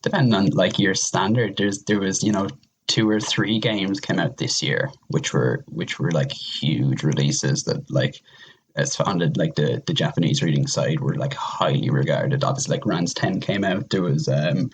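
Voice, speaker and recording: Deep-voiced man, husky and rambling. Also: normal speed. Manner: rambling, repeats himself. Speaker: podcast guest. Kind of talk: casual chat.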